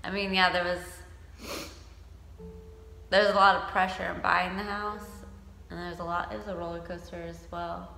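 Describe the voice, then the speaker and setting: A woman's voice: on the low side, little pitch movement, normal speed, creaky, long pauses, pushed and bright, soft background music, low-quality recording. A woman vlogging in a casual, informal and wry way. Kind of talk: casual chat to camera.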